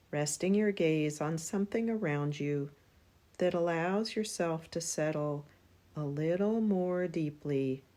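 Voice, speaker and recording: A woman guiding a relaxation meditation in a soothing, unhurried manner, leaving long pauses between phrases. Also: deep voice, dark tone. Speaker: yoga nidra meditation guide.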